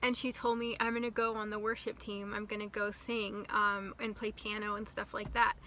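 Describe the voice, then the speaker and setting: Young woman, slow soft storyteller, bright and a bit high, faint hiss behind. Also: little pitch movement, poor audio. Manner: slow, soft-spoken with many ums and likes. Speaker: vlogger. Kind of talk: casual storytime.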